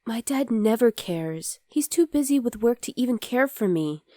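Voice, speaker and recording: Soft, mellow young demon girlfriend played by a woman. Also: on the high side, lively intonation, normal speed, no room sound, clean studio sound, close to an everyday voice. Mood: resentful, confiding. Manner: intimate, soft, often dropping to a whisper. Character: young demon girlfriend, sweet, exasperated, rebellious daughter. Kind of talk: ASMR roleplay, addressing the listener as partner.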